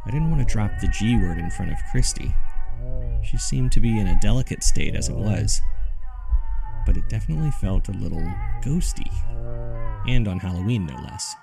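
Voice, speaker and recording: A man with a low, gravelly voice narrates an eerie first-person tale. His delivery is measured and dryly conversational, like someone reading out a journal. Music plays clearly beneath him. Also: wildly swooping pitch, rough recording. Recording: music under the voice. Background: background music.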